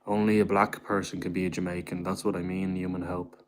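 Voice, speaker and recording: A man's voice: deep voice, flat delivery, brisk, slight background noise. A man hosting a casual livestream who answers his chat in a rambling way, calls viewers "bro", and keeps his voice down indoors at home. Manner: keeps his voice down so he won't disturb the landlord. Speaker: livestream host reading chat comments.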